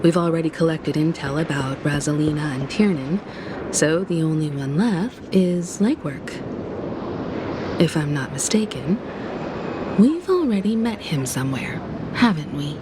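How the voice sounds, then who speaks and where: A lowish, assured voice of a poised mature woman in a game cutscene. She speaks calmly with clear background noise underneath. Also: a little slow, pauses often.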